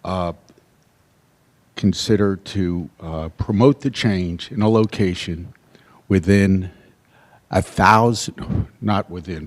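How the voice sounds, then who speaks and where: A soft-spoken man speaks at a council meeting from the podium microphone. His voice is very deep and his delivery slow and unhurried. He talks plainly and pauses on fillers as he makes his point. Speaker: resident giving public comment. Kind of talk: public comment at a council meeting.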